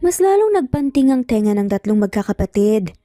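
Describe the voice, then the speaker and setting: Filipino female narrator for dramatic story, gentle dark timbre, very dry recording. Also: a little high, very animated, brisk, no echo, soft background music.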